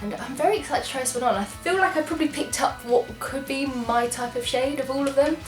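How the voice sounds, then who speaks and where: A woman's voice: high voice, fast, reverberant, over music. Bunged-up chatty English woman. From England. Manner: chatty, enthusiastic, apologetic asides. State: congested from a cold. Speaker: beauty vlogger. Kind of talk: casual product try-on chat.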